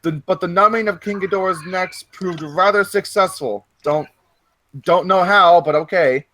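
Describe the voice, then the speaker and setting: A man's voice: sits high, moderate pace, sharp tone. A man hosting a mocking read-aloud of fan fiction, repeating lines emphatically and dropping in joking asides.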